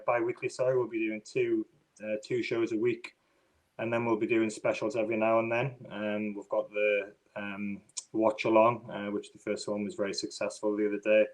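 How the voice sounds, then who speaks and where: A man with a Northeast English accent hosts a relaxed podcast chat. He often pauses on ums and restarts his sentences. His voice comes through a video call. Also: on the low side, rough recording. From northeast England. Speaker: podcast host.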